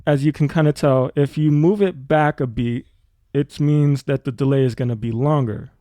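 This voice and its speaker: Mellow-voiced man explaining in a dry recording. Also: medium pitch, fairly even intonation, normal speed, no echo. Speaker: music production tutor. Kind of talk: casual tutorial explanation.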